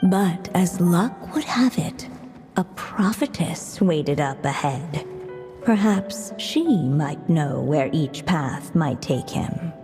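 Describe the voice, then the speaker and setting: A woman narrating a storybook tale softly and gently, over faint music. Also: deep voice, animated, in a large hall, exaggerated voice. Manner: soft, slow and gentle.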